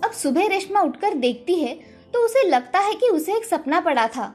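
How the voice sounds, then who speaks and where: High-pitched woman narrating a fairy tale. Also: sing-song, moderate pace, darkish tone, faint music behind. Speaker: storyteller. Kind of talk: scripted story narration.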